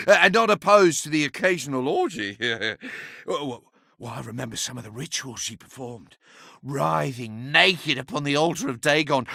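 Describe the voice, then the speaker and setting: An actor voicing an ancient, talkative magical staff in a video game, sardonic, pompous and bawdy, with a theatrical delivery. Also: male voice, on the high side, creaky, bright, forceful tone, no echo, studio quality, full character voice. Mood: lustful reverie. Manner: sardonic, pompous, chatty, given to chuckling. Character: impish, talkative, bawdy ancient sentient staff, boastful adviser.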